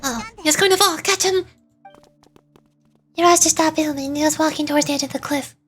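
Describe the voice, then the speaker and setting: A woman's voice: high voice, brisk, a bit bright. A woman voicing a small, youthful, earnest fairy girl in video game dialogue. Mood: alarmed, puzzled.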